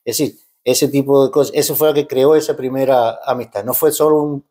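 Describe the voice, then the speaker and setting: A Cuban man in his fifties talks as an interview guest, with a voice of ordinary pitch for a man. He slides back and forth between Spanish and Portuguese, restarting phrases and tagging sentences with questions as he goes. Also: steady tone, fairly quick, mellow. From Cuba. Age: 50s. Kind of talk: casual interview talk.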